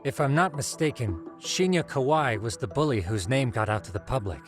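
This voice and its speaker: A man's voice: medium pitch, animated, fairly quick, natural voice. A man playing an inquisitive, analytical investigator who explains things articulately in an English game dub. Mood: explanatory. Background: faint background music.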